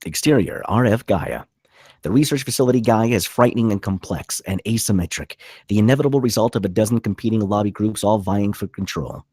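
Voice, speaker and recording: A man's voice: fairly low pitch, lively intonation, a little fast, darkish tone, breathy edge, no room sound, studio quality. A man reading stage directions as dramatic scripted narration at a table read.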